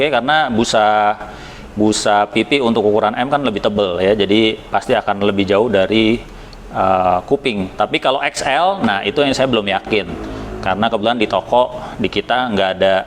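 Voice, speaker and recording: Indonesian guy doing a chatty product review, kinda deep voice, bit hesitant, slight noise behind him. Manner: conversational, hesitant, with occasional English words. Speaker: product reviewer from a helmet shop. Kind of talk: casual unboxing review.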